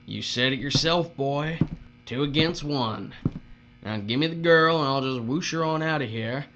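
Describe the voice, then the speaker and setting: A cruel, leering boss played by a man in a dystopian radio play. He talks in a folksy, taunting drawl at a middling pitch. The recording is dull, with a steady hum underneath. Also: moderate pace, close to an everyday voice. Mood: taunting menace. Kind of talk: audio drama acting. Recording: muffled audio with steady mains hum.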